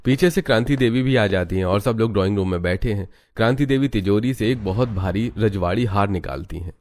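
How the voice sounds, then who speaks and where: A man's voice: on the low side, fairly quick, darkish tone. A man giving a dramatic scripted narration of a family story. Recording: music behind the voice. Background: background music.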